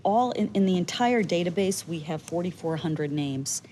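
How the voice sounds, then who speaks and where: A woman activist answers interview questions in an earnest way, often pausing on fillers. Her voice sits low and mellow. A faint noise hums behind her. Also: expressive.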